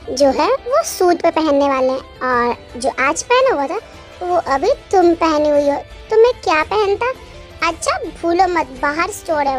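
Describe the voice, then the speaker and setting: Woman as a cocky, very high-voiced young husband. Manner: teasing, self-satisfied.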